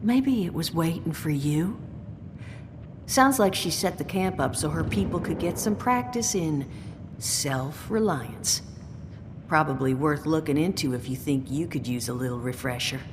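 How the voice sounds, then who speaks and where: An actress voicing a female guide in a video game, speaking in a measured, informative way. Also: sits low, expressive. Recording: game audio with long reverb and noise.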